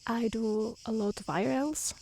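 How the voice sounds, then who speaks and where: A woman with an Italian accent speaking softly and calmly in an ASMR style, choosing her words carefully as a non-native speaker, outdoors. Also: fairly high pitch, moderate pace, vocal fry, a little hiss or hum, low-quality recording. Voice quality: soft, gentle voice. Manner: calm, careful delivery in non-native speech. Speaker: streamer and storyteller. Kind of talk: soft-spoken ASMR reading and chat. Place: outdoors in nature near mountains.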